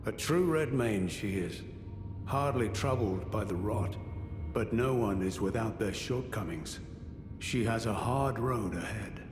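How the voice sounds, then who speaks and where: An actor playing an aging, honorable warrior knight in a fantasy game, speaking in a measured, formal, ruminative way. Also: male voice, fairly low pitch, animated. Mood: pensive. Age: older. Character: aging honorable male warrior knight, weary, grave, courteous. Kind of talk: dramatic fantasy video game dialogue. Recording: echoey hall with long reverb, music behind.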